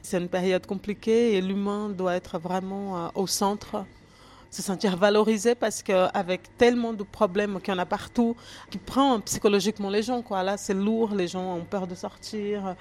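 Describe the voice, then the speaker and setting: A woman's voice: medium pitch, fairly even intonation, moderate pace, darkish tone. A middle-aged woman chef from Brazil with a Brazilian accent, chatting casually while cooking, with many fillers. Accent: Brazilian Portuguese. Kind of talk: casual interview and cooking demonstration.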